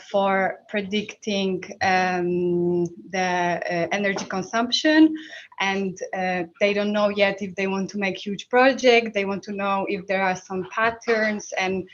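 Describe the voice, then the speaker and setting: A woman with a Polish accent speaks as a workshop instructor, explaining things informally. Her delivery is slow and halting, with frequent hesitations between short phrases. The sound is slightly dull, like a live-stream recording. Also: mid-range voice, a bit bright. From Poland. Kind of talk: informal technical workshop presentation.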